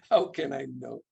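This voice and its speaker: Older man, gravelly, halting. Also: medium pitch. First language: Hebrew and German. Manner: halting, repeats and restarts words. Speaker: guest lecturer. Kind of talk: Q&A after a lecture. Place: home study lined with bookshelves. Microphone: video call, laptop webcam.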